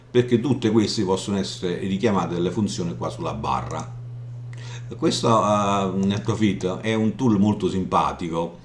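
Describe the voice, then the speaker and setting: A man giving an informal software walkthrough, explaining haltingly and often checking in as he goes. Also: sits low. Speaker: software tutorial presenter. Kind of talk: informal screen demo walkthrough. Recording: roomy echo, audible background hum noise.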